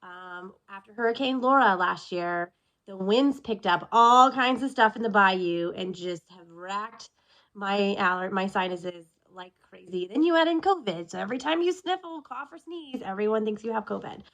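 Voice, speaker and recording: A woman's voice: mid-range voice, lively intonation, normal speed, fairly bright tone, low-quality recording. A woman in her thirties, sniffly from allergies, talking candidly in a casual self-recorded video check-in and drifting off on tangents. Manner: candid, chatty, goes off on tangents. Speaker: military spouse vlogger.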